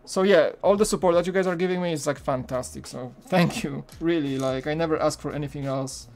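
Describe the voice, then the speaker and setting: A man's voice: on the high side, brisk, vocal fry, darkish tone. Man, thirties, Polish accent, Polish native speaker, casual live-stream chat, home room, headset mic. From Poland. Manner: chatty, loose, many fillers and restarts.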